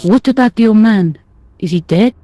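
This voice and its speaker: A groggy French woman acted out by a female performer grumbles bluntly through adventure game dialogue. Her French-accented voice is mellow and middling in pitch, with a dry, close sound. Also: moderate pace, no echo, close to an everyday voice. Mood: shaken, frank. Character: blunt, groggy French café waitress who likes a drink.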